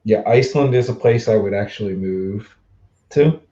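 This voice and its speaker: A man in his forties from the Mid-Atlantic US, hosting a casual livestream chat, easygoing and quick to laugh, with lots of fillers. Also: lowish voice, dark tone, muffled recording. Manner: chatty, laughs easily, trails off with fillers. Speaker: livestream host.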